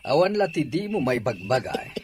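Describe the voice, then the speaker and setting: A man's voice: mid-range voice, very animated, brisk, slight background noise, lightly acted. A man playing a stern older master of a household in a radio drama, speaking with a Filipino accent. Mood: weary, chiding.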